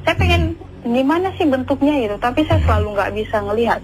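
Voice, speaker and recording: A woman's voice: a little high, animated, fast. Woman, Indonesian accent, caller, earnest, repetitive, phone line, music underneath. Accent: Indonesian, Medan. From Medan, Indonesia. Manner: earnest, repetitive, often addresses the host. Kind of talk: phone-in storytelling.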